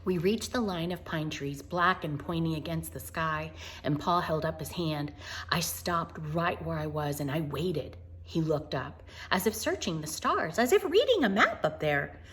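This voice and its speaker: An American woman reading a storybook aloud to children in an informal, chatty and expressive way. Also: low-pitched, a little slow. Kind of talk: storybook read-aloud with casual asides to children.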